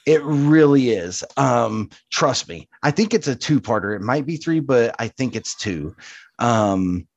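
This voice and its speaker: A man's voice: medium pitch, expressive, measured pace, no room sound, highs cut off. A man co-hosting a podcast, chatting casually and insistently, often repeating himself to press his point. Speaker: podcast co-host. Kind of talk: casual chat.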